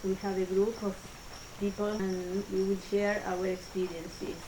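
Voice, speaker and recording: A woman's voice: mid-range voice, level tone, a little slow, noisy background. A woman interviewing retreat participants with short, direct prompts. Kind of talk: interview questions.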